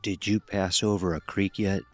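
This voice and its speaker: A man's voice: low-pitched, steady tone, normal speed, no room sound, lightly acted. A man playing an anxious, insistent man warning someone by phone in an audio horror drama. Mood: probing. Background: music.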